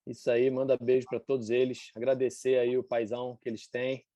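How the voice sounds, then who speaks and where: A Brazilian man in his forties chats casually as an event host, in a medium-pitched voice. His talk is slangy and informal, and the sound is dry and close. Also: level tone, fairly quick, warm tone, dry recording. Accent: Brazilian Portuguese. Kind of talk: casual chat.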